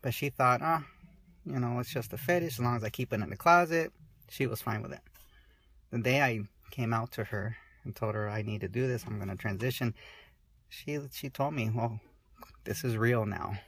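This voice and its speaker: A woman in her forties speaking candidly in an interview, halting and soft-spoken, often restarting her sentences. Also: very deep voice, husky, dry recording. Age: 40s.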